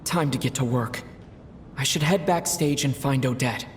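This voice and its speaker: A man playing a calm young traveler. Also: medium pitch, moderate pace, slight background noise, natural voice. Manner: calm, quiet inner musing. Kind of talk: game cutscene voice acting.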